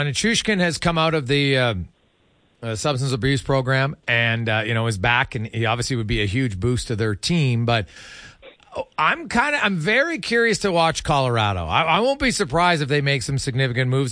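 Male radio host, sharp bright tone, slightly high pitch, dry sound, conversational sports talk. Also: lively intonation, fairly quick, dry recording.

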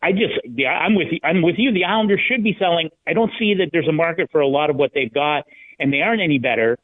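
A male hockey analyst talking on sports radio in a halting, conversational way, restarting phrases as he thinks aloud. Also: a little high, lively intonation. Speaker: sports writer, guest analyst. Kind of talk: hockey talk radio discussion. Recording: thin, phone-like line.

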